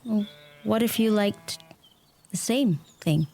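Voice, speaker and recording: A woman's voice: mid-range voice, slow, warm tone. A woman playing an amused, curious American newcomer to Ireland in a naturalistic radio play, teasing and inquisitive.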